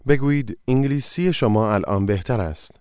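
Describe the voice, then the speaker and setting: A man's voice: mid-range voice, animated, racing, darkish tone, smooth, dry recording, studio quality. A man narrating a language course, giving short, directive prompts for the listener to repeat. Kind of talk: scripted instruction.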